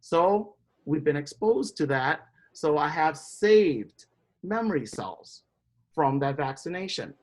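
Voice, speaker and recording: This is an instructor from Indonesia teaching a live class. The delivery is explanatory and steady, pausing often to check in with the students before moving on. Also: medium pitch. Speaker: biology instructor. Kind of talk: classroom lecture.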